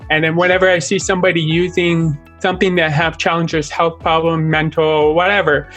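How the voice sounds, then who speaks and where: A man's voice: fairly high pitch, little pitch movement, a bit bright, faint music behind. Earnest young man with a deaf accent. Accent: deaf speaker's accent. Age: young adult. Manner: earnest, open, at times halting speech. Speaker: interview guest, artist. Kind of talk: casual interview conversation.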